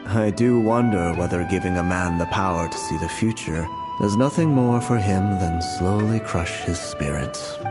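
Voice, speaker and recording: A man's voice: deep voice, normal speed, few pauses, natural voice. An actor playing a brooding male prophet who solemnly laments a fate he cannot change. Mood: lamenting. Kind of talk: game voice acting. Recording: game audio with clear music.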